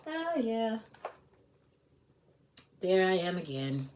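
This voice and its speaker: A woman's voice: mid-range voice, big pitch swings, extremely slow, darkish tone, a little hiss or hum. A woman chatting casually to her followers in a playful, exclamatory way, with self-mocking vanity.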